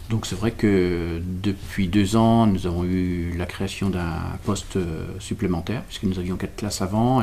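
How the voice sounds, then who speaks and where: A man from France giving explanatory interview answers as a local representative, halting and correcting himself as he searches for words. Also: fairly low pitch, vocal fry, warm tone, slight background noise. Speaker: local representative explaining a village school project.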